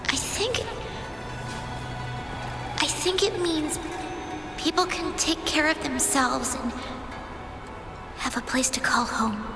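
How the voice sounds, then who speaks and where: An earnest young girl apprentice in a video game. Her voice is high and slow, hesitant and deferential. It echoes in a long reverb with music behind. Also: lively intonation, full character voice. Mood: earnest, eager.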